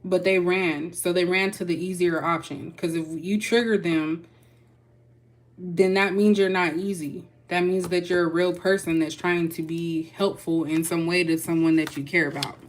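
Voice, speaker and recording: Casual young female reader with a Texas twang, low-pitched, folksy and loose. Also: fairly even intonation, normal speed. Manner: chatty, loose, folksy with y'all. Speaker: tarot reader. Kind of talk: casual spiritual reading.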